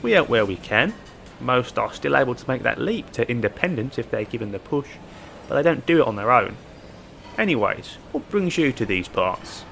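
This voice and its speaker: A man playing an earnest, polite activist with a gravelly voice in a sci-fi audio drama. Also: mid-range voice, very animated, normal speed, fairly bright tone, a little hiss or hum. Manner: polite, explanatory. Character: earnest, soft-sell male android-rights activist.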